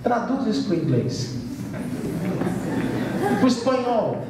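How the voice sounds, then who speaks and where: A man with a Brazilian accent giving a spiritist lecture to an audience, measured and emphatic with light touches of humor. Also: high voice, sing-song. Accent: Brazilian Portuguese. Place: large event hall. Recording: roomy hall sound from far off with faint noise.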